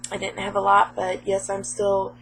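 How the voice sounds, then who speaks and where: Hoarse, sniffly woman chatting about games. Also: medium pitch, monotone, normal speed, fairly bright tone, a little hiss or hum, poor audio. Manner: chatty, rambling, many fillers. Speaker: game collecting vlogger. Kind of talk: casual chat about game pickups.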